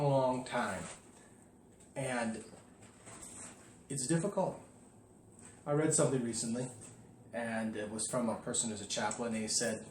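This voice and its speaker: Casual priest talking in an echoey room. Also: male voice, mid-range voice. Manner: conversational, candid, emphatic rises. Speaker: Orthodox priest. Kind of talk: casual video talk to parishioners. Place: indoors at home. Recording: echoey room with faint noise.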